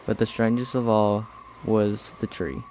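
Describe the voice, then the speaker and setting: A man's voice: fairly low pitch, fairly even intonation, a little slow, dark tone, a little hiss or hum, poor audio. A young man reading scripted story narration for an amateur radio play. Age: teenager or young adult.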